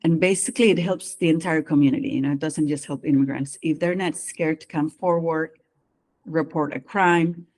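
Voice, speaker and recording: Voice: medium pitch, steady tone, normal speed, darkish tone. A psychologist guest with a mixed accent of their own, earnestly explaining their work and speaking openly about personal experience. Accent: mixed non-native English accent. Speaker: psychologist guest who does immigration evaluations. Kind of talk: interview conversation.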